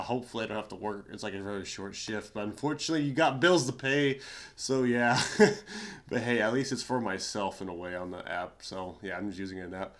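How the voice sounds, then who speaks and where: A young man with a stuffy, nasal voice from a cold, sounding tired and chatting casually in a rambling, slangy way with lots of fillers. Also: lowish voice. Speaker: YouTuber. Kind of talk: casual commentary on reddit stories.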